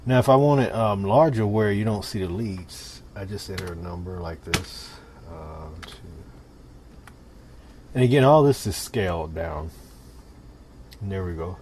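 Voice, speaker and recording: A man with a low voice gives an informal tutorial, talking through each step while he demonstrates. His delivery is casual and conversational. There is some noise behind him on the recording. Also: measured pace. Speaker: tutorial presenter. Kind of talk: casual software tutorial narration. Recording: clear steady background noise.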